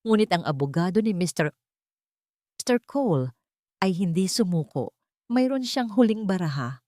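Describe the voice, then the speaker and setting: Female narrator for scripted romantic drama, slightly low register, very dry recording. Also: lively intonation, moderate pace, dark tone, dry recording. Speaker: storyteller.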